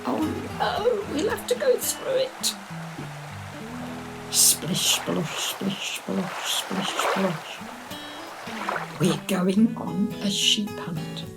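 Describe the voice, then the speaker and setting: Woman doing kids storytime, really slow and expressive, sing-song delivery, music along with it. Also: mid-range voice, poor audio. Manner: expressive, deliberate, sing-song with hushed whispers. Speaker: children's story reader. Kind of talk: animated chanted story reading for young children.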